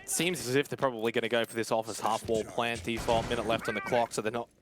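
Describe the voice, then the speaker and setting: A man's voice: medium pitch, steady tone, fast talker, soft background music. A man calling live esports play-by-play, recapping rounds and scores in a conversational way. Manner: conversational, calls action and recaps. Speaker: play-by-play caster.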